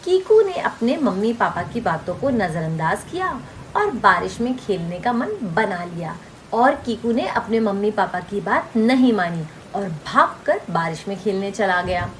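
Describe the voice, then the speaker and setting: A woman's voice: medium pitch, sing-song, a little slow, a little hiss or hum, low-quality recording. A woman reading a scripted children's story as its narrator.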